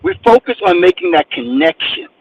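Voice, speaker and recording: A man from New Jersey talks about his book as an interview guest. His voice is high and swings widely in pitch, and he acts out other people's questions. The sound is a bit dull, with faint noise behind. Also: big pitch swings, fairly quick, gravelly, breathy edge. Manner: emphatic storyteller, acts out quotes. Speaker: guest author. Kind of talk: animated interview talk.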